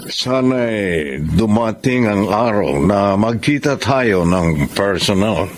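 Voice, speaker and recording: An actor playing a friendly Canadian suitor in a Tagalog radio drama, mixing in English words. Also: male voice, lowish voice, animated, a little hiss or hum. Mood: amused, friendly. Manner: slow, mixes in English words. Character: Frank, friendly wealthy Canadian suitor speaking halting Tagalog.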